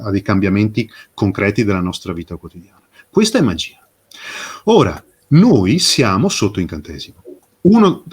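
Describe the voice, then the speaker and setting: A man speaking as an interview guest, explaining with passion and emphasis, posing rhetorical questions and pausing for effect. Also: mid-range voice, husky. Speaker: interview guest, fairy tale expert. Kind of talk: impassioned explanatory interview talk.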